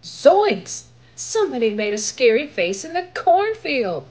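A woman voicing an excitable, easily scared goofy young man in a storybook, with drawn-out exclamations. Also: high-pitched, voice pushed up, heavily acted. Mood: startled.

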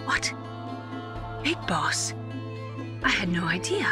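A woman playing a reserved, caring medical scientist in a video game, soft-spoken and measured. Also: medium pitch, big pitch swings, music in the background. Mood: shocked. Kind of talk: dramatic video game acting.

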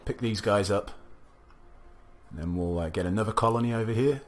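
A young man casually commentating a strategy game, thinking aloud, his voice a little gravelly from a recent cough. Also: on the low side, a little fast. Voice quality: slightly gravelly. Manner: musing aloud, chatty, self-talking. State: recovering from a cough, slight gravel. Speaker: let's play commentator. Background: faint game music.

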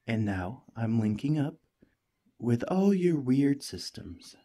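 Man playing a husky, gentle, cheerful alien parasite. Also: mid-range voice, big pitch swings, slow. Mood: excited, curious. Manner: gentle, chatty, playfully earnest. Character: cheerful, friendly young male alien parasite, eager and reassuring. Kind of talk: ASMR roleplay acting.

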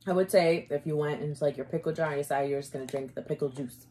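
A woman in her thirties co-hosting a casual snack review, lively, with quick asides and frequent laughter. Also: low voice, low-quality recording. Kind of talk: casual snack tasting chat. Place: indoor room before a glitter backdrop.

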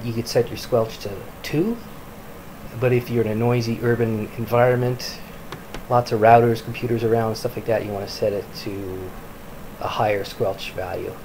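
A man from Canada giving an informal product review in a halting, piecemeal delivery. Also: mid-range voice, a little mellow. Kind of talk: informal screen-recorded review. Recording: clearly noisy home recording.

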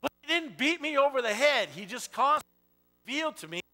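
A man in his forties preaching an informal sermon in a church, talking loudly and animatedly while he walks among the audience. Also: extremely high pitch, fast talker. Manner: loud and animated, walking the stage and aisles. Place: church sanctuary with a stage. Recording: long reverb of a church hall.

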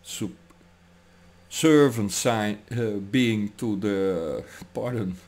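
A man's voice: on the low side, extremely slow, darkish tone. A man in his sixties with a Dutch accent, rambling through a commentary vlog at his desk and often tagging his points with "huh?". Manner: digressive, tags lines with "huh?", laughs between thoughts. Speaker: political commentary vlogger. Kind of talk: rambling monologue. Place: home room, indoors. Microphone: webcam at desk.

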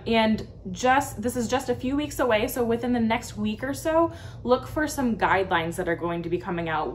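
A young woman speaking as an intern pastor, addressing her congregation in a friendly, upbeat way in a home video. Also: mid-range voice. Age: young adult. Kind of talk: church announcements and prayer to camera. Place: living room indoors. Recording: home video with background room noise.

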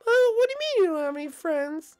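A gentle, caring mother, as a woman puts her on, speaking high and soothing. Her voice swoops up and down as she encourages her son. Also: very animated, fast, soft background music.

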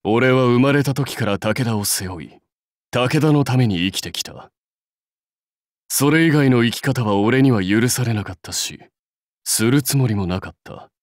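A male actor plays a proud warlord from a fantasy game, devoted to his clan. He speaks curtly and with complete self-assurance, as one used to command. The pitch is average for a man, and the sound is dry and close. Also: expressive, a little slow, dry recording, close to an everyday voice. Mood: solemn, resolute. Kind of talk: Japanese mobile game character lines.

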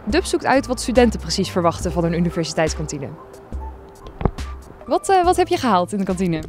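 A woman's voice: medium pitch, faint music behind. A young woman reporting for a news segment and interviewing people in a university canteen with a handheld microphone. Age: young adult. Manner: lively, quick questioning. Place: university canteen and outdoor campus.

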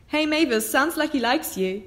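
Flippant young female ghost actress, slightly high pitch, dry teasing delivery for audio drama. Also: animated, normal speed. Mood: flippant, amused. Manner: sarcastic, teasing.

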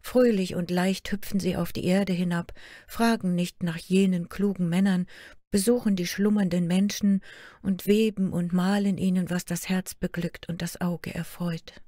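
A woman's voice: fairly low pitch, fairly even intonation, moderate pace, dark tone, no echo, studio quality, pitched above the performer's own voice, lightly acted. A woman voicing Märchen, a young girl who is the spirit of fairy tales, pleading and tearful. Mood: plaintive.

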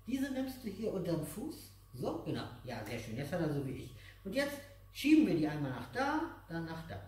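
A middle-aged man teaching exercises step by step in simple, repeated phrases, patient and encouraging. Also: sits high. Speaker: aikido instructor. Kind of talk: hands-on exercise instruction to a child and viewers. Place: large dojo room with mats, indoors. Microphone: distant camera microphone. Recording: echoey hall, far from the mic.

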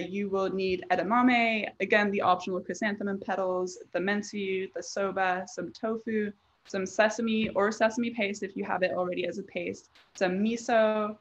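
A woman presenter explaining things in an informal, conversational way during an online slide presentation. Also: medium pitch. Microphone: online video presentation. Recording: dull, lo-fi online-call sound.

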